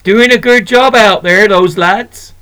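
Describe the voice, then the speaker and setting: A man's voice: high-pitched, slow pace, sharp tone, clean tone, pitched above the performer's own voice, exaggerated voice. A homely, motherly shopkeeper woman, plump and always beaming, as a male storyteller puts her on. Her voice sits well above his own and she talks in a cosy, chatty way. It is a storybook reading. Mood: hearty.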